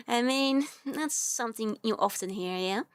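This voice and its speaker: A woman's voice: on the high side, clean tone, no room sound, studio quality. A young woman with a Dutch accent chatting casually on a livestream, giggly and rambling with lots of fillers. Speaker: VTuber streamer.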